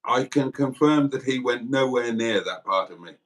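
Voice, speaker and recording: A man's voice: mid-range voice, normal speed, rough recording. A Welsh man from South Wales co-hosting a livestream, reading viewer comments aloud with playful, dry banter. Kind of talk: casual banter.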